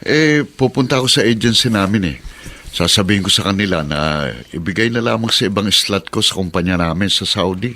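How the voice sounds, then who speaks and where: A man's voice: on the low side, expressive, a little fast, bright, forceful tone. An actor playing an easygoing, casually teasing husband and father in a radio drama. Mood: casual, relaxed. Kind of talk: Tagalog radio drama acting.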